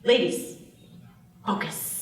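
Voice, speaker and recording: A woman's voice: slow, close to an everyday voice. Woman acting a bossy heist leader, distant mic. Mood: businesslike. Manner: commanding, irritable. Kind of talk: screenplay table read. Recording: roomy, distant mic, faint noise.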